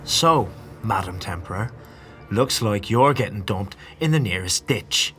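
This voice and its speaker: An actor playing a gruff, sardonic scavenger gang boss who taunts and threatens in a fantasy audio drama. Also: male voice, fairly low pitch, expressive, a little slow, sharp tone, faint music behind. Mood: menacing, mocking. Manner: foul-mouthed, taunting, threatening.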